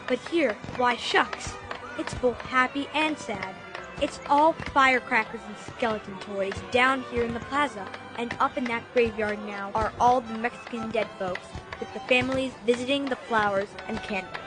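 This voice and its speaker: A woman plays a wide-eyed, thoughtful schoolboy in a radio play. The voice is high and earnest, full of wonder. Music runs clearly behind it. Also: normal speed, close to an everyday voice. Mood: earnest, reflective. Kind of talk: radio drama acting.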